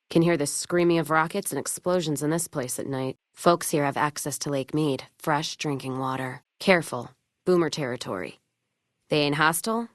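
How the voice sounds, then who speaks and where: A woman's voice: sits low, no echo, studio quality. A woman playing a blunt, hard-drinking, foul-mouthed wasteland companion in a video game, wry and wary, with clipped, folksy phrasing.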